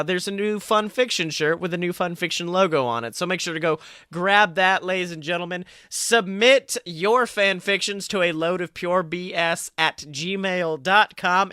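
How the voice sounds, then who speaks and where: A man's voice: sits high, pushed and bright, smooth, no room sound, studio quality. A man hosting a podcast in a casual, playful, showman-like way with emphatic announcements. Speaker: podcast host.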